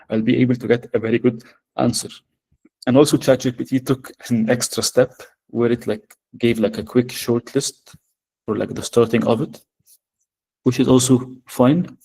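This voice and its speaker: Arabic-accented male workshop presenter, mellow tone, halting delivery full of "uh"s, on a video call. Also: medium pitch, low-quality recording. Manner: hesitant, many fillers. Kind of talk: live software demo.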